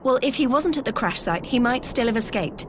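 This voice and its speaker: A woman's voice: a little high, animated, a little fast, slight background noise, close to an everyday voice. A woman playing an earnest, plainspoken young woman fighter in a video game cutscene. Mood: concerned, earnest.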